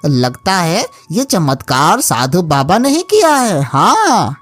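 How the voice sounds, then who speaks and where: A woman's voice: fairly low pitch, big pitch swings, takes it slowly, no echo, full character voice. A woman voicing a friendly, loyal talking monkey in a cartoon moral story, chatty and full of exclamations. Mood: eager, delighted.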